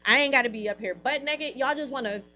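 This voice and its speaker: A woman co-hosting a radio talk show, blunt and animated, laughing as she tells stories. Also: high-pitched, quick pace, soft background music. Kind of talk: casual chat.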